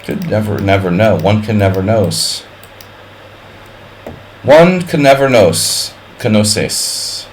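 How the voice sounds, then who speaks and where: Wry low-voiced male chess streamer. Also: lively intonation, slow pace, long pauses, a little hiss or hum. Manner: thinks aloud, calling out moves between wordplay and jokes; wry and offhand, chatting with viewers. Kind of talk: live-stream commentary while playing a simul.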